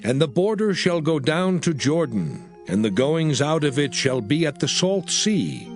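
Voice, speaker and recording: Man as solemn biblical leader, very expressive pitch. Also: faint music behind. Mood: solemn proclaiming. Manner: declamatory, measured proclamation. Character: solemn male biblical leader proclaiming divine law. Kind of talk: dramatized scripture reading.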